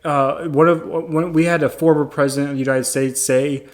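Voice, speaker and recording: A man's voice: a little high, steady tone, moderate pace, a little hiss or hum. A young man hosting a podcast, friendly and enthusiastic, often stammering and restarting his sentences. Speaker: podcast host. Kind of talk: casual interview chat.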